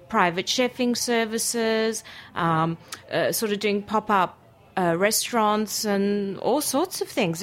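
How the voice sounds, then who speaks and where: A woman with an Australian accent whose first language is Greek, telling her story enthusiastically as a guest in a casual interview. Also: medium pitch. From Greece and Australia. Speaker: radio interview guest, cookery teacher and food writer.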